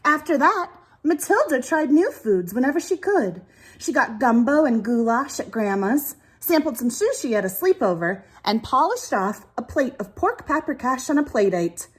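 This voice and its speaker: A woman with a fairly high, slightly husky voice reads a picture book like a teacher. Her delivery is very lively and expressive, with plenty of emphasis for young listeners. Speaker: teacher reading storybooks to children. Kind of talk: expressive children's storybook read-aloud.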